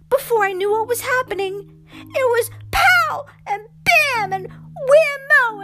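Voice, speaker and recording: A woman's voice: very high voice, bright, forceful tone, pitched above the performer's own voice, exaggerated voice. A woman voicing an indignant little girl bear cub, tearful and aggrieved, in a storybook reading.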